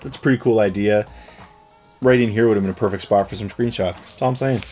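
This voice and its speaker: A man's voice: on the low side, quick pace, soft background music. A middle-aged man leafing through an old gaming magazine, chatting loosely about it with plenty of ums and uhs. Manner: relaxed, rambling, with frequent fillers. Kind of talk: casual commentary.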